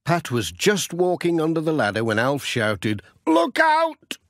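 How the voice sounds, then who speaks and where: A man's voice: mid-range voice, expressive, normal speed, dry recording, studio quality. A man narrating a children's audiobook story.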